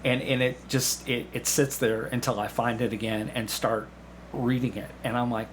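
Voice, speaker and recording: A man chats casually as a guest, telling stories in a halting way and repeating himself as he goes. His voice is mid-pitched, with some noise in the background. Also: little pitch movement. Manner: halting storytelling with repeated phrases and acted-out asides. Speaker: podcast guest, amateur actor. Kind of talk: casual anecdotal conversation. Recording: clearly audible background room noise.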